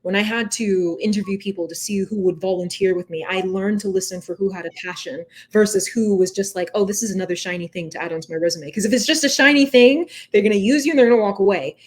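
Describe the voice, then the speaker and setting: A woman in a reflective interview conversation, with a voice of medium pitch. She explains things earnestly and with emphasis, often checking in with short questions as she goes. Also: fast, few pauses. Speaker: podcast guest, entrepreneur and nonprofit founder.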